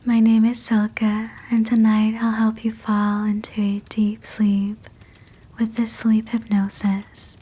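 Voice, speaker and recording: A woman whispers a guided sleep hypnosis in a very breathy, husky tone. Her delivery is slow and almost completely level in pitch. She phrases everything gently and soothingly, like a bedtime talk-down.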